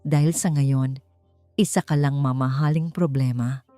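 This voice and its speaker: A woman's voice: a bass voice, fairly even intonation, a little slow, warm tone, soft background music. A woman voicing a stern, wealthy father in an audiobook drama, curt, authoritative and scolding. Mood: cold, final. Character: stern, wealthy father, cold commanding patriarch.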